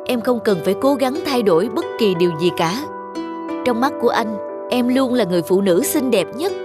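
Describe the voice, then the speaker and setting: A man's voice: very high voice, animated, brisk. Man as tender husband, music behind. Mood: tender, regretful. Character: tender, devoted husband, gently regretful. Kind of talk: Vietnamese cartoon voice acting.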